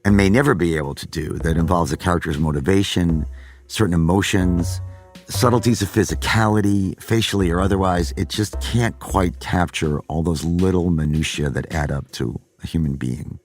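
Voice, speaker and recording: A man's voice: low-pitched, moderate pace, a little mellow, faint music behind. A middle-aged man, a voice actor, musing on his craft in a podcast monologue and slipping now and then into character voices.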